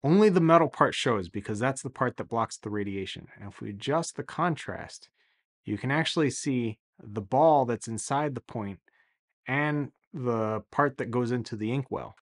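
Man in his 30s, easygoing enthusiastic explainer, mid-pitch voice, small office, desk-distance camera mic. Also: normal speed. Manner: relaxed, enthusiastic, many "really" and "uh" fillers. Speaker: sailing vlogger explaining boat repairs. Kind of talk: casual explanatory talk to camera.

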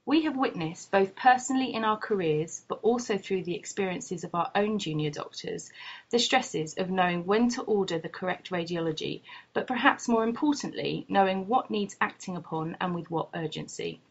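A woman's voice: on the low side, lively intonation, normal speed, husky. A woman who is a doctor and course lead reads a scripted promotional narration in a formal, articulate way. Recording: muffled lo-fi voice-over.

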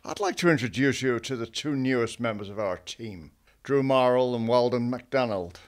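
An actor playing a smug, mocking ranger boss in a radio drama. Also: male voice, medium pitch, moderate pace, natural voice. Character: smug scheming male Texas Ranger boss.

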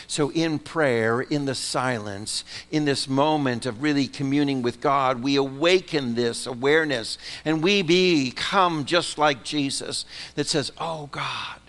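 A man preaching a sermon from the pulpit, swinging between loud, emphatic lines and soft, hushed ones. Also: mid-range voice, measured pace, hardly any pauses. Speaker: pastor. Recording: long reverb of a large sanctuary.

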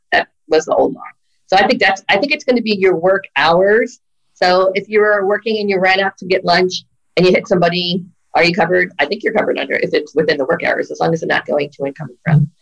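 A middle-aged woman speaks with a gravelly, creaky texture at a medium pitch. She gives emphatic, earnest advice over a webcam video call from her office. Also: brisk, lo-fi sound. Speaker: employment lawyer guest. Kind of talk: casual video-call interview. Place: office with brick wall.

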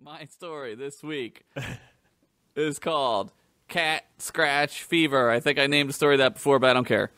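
A man's voice: medium pitch, a little slow, sharp tone, clear, resonant tone, no echo, clean studio sound. A man co-hosting a comedy podcast, bantering and telling silly stories in a crude, giggly, jokey way. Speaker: podcast co-host. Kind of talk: casual comedic banter and storytelling.

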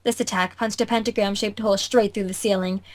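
A woman's voice: medium pitch, fairly even intonation, fairly quick. A woman reading visual novel narration as an amateur, in a theatrical storytelling way with occasional stumbles. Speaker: fandub narrator.

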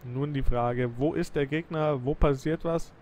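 A young man giving lively, excited play-by-play commentary over a tank game replay, praising the moves as he goes. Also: mid-range voice, a little slow, slight background noise.

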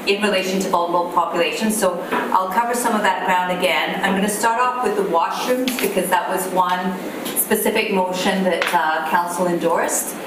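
A woman with a low voice giving a staff update in a video meeting, speaking steadily with fillers. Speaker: city staff presenter. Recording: roomy distant video call audio with background noise.

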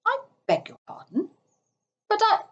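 A woman's voice: a little high, big pitch swings, unhurried, a little mellow, highs cut off. A woman voicing a sensible, good-natured but stubborn male rat in a children's story, with a dry, firm manner. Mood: indignant.

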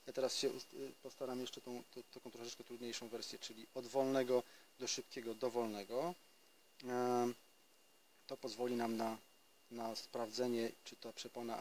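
A man's voice: medium pitch, slight background noise, rough recording. Man teaching outdoors in a forest. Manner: explanatory, with hesitations and restarts. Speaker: trumpet teacher. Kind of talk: casual spoken lesson.

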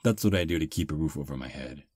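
A man playing a charming male vampire on a first date, soft, relaxed and self-deprecating, close to the mic in ASMR roleplay. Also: rumbling low, fast, slightly rough, dry recording, full character voice. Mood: calm.

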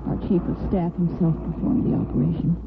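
An actress playing a caring, emotional hospital nursing superintendent in a 1950s radio soap opera, earnest and hesitant. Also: female voice, on the low side, flat delivery, brisk, creaky. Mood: grave, sorrowful. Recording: tinny, noisy old radio broadcast.

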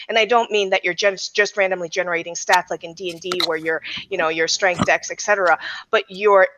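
A woman's voice: mid-range voice, a bit bright. Young woman hosting, slightly muffled headset. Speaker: podcast host. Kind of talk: casual explanation to audience. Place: small room at home. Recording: slightly dull video-call audio.

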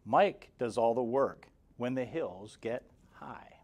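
A man in his forties reads a rhyming picture book aloud for small children. His mid-pitched voice rises and falls in an expressive sing-song. He keeps a slow, measured pace and gives key words clear emphasis. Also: lo-fi sound. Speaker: guest story reader for kindergarteners. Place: indoor room, seated in front of a banner. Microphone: lapel mic.

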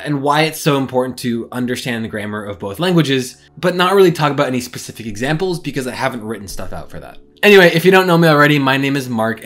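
A young man talking casually to his webcam in a small bedroom and explaining language learning ideas in an animated way. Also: mid-range voice. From English-speaking. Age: young adult. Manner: animated, gestures a lot while explaining. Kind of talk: casual talking-head vlog.

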